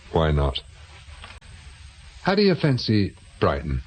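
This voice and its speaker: Deep-voiced, wry British husband. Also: male voice, huge pitch swings, very slow, breathy edge, exaggerated voice. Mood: wry, resigned. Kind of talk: British radio drama acting.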